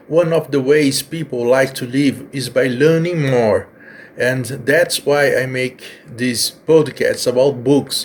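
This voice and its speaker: Male host, Brazilian Portuguese accent, Portuguese native, earnest and halting; faint background noise. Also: medium pitch. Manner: earnest, slightly halting with fillers. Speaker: podcast host. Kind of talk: earnest personal message.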